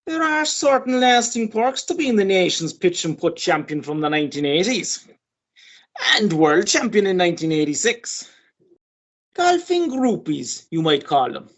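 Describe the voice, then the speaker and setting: A woman's voice: mid-range voice, sing-song, moderate pace, highs cut off. A woman acting an ageing, boastful Irish male sporting champion in a radio play, chatty, pompous and persistent. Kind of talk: radio play monologue and dialogue.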